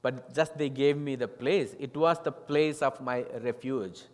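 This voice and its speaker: Indian pastor in a reverberant church hall. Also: male voice, medium pitch, fairly even intonation, measured pace, darkish tone. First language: Tamil. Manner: earnest storytelling, simple English with some non-native grammar. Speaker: guest pastor. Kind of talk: testimony sermon. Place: church sanctuary.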